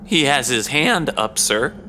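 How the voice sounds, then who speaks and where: A man's voice: mid-range voice, sing-song, measured pace, sharp tone, slight background noise. A man playing a deferential, hesitant assistant who answers matter-of-factly in a comedy sketch.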